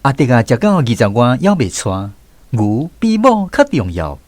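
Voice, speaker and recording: Animated Taiwanese man narrating a story. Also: medium pitch, moderate pace. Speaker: radio drama narrator. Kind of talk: scripted storytelling narration in a radio drama.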